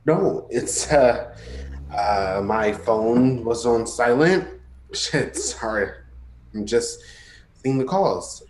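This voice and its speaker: A man's voice: medium pitch, lively intonation, very slow, slight background noise. A man acting in a play reading as a guarded, edgy but caring man, speaking in hesitant, clipped phrases. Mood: concerned. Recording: roomy, echoey sound.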